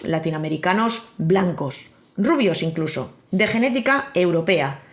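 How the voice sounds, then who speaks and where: A woman with an accent from Spain, hosting a podcast and reading a scripted monologue with wry, personal storytelling. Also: fairly low pitch. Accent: Spain Spanish. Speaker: podcast host. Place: living in Miami.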